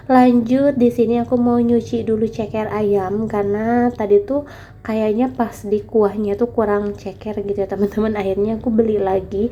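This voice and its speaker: A young woman talks in a relaxed, chatty voice-over, her tone mellow and a little nasal from a cold. She keeps turning to her viewers as friends. A faint low rumble sits under the recording. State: stuffy nose from a cold. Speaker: vlogger selling meatballs from home. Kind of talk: casual voice-over vlog. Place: home, recorded at night after the day's filming. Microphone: voice-over dubbed separately.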